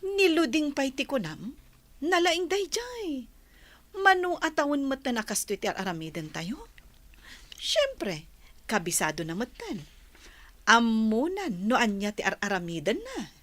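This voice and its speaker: Animated, scheming young wife. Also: female voice, high-pitched, unhurried, long pauses, exaggerated voice. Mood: conspiratorial. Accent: Ilocano. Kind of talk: radio drama acting.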